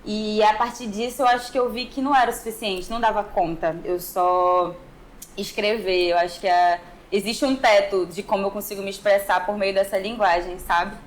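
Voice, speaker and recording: A woman's voice: mid-range voice, fairly even intonation, normal speed, poor audio. A young woman from Manaus with a northern Brazilian accent, talking informally and reflectively as a podcast guest about her own experience. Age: young adult. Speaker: podcast guest, musical artist. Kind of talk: conversational personal storytelling in an interview. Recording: clear background noise.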